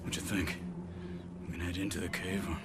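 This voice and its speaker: A man playing a calm, watchful gang scout in an Old West video game, talking in a measured, cautionary way. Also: deep voice, very noisy. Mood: low-key, cautious. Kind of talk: video game cutscene acting. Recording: loud music behind the voice.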